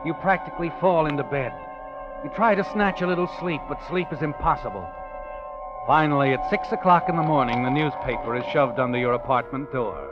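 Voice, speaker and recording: Man as an ominous high-voiced radio narrator over music. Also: a little fast, lightly acted. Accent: American. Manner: dramatic, ominous, needling. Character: knowing, taunting male narrator of crime tales. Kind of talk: 1940s radio suspense narration, second person. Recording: dull lo-fi 1940s radio recording. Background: dramatic music.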